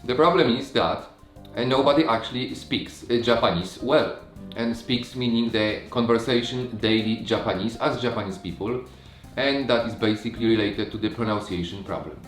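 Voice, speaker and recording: A man's voice: medium pitch, soft background music. Chatty man with a roomy echo. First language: not English. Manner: animated, halting with many fillers. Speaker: language school manager. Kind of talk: casual conversational chat.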